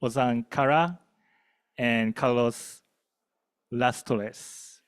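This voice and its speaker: A man with a Japanese accent casually moderating a panel in a halting, hesitant way. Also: medium pitch, clear, resonant tone. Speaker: panel moderator, event co-lead. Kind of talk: casual panel hosting before an audience.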